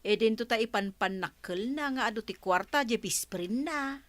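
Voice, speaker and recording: A woman's voice: a little high, lively intonation, moderate pace, natural voice. A woman with an Ilocano accent playing a gossipy village neighbor in a comedy radio drama.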